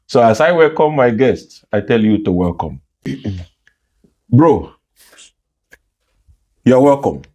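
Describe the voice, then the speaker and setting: A man's voice: mid-range voice, animated, slow pace, long pauses, a little mellow. A man hosting an interview show, speaking formally and emphatically, often repeating the guest's answers back. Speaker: host.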